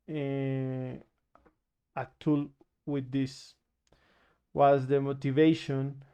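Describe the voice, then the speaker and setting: Colombian male researcher, slow flat conference delivery, very clean voice, halting. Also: a little high, clear, resonant tone. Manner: halting, many fillers and restarts. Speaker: security researcher. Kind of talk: conference presentation.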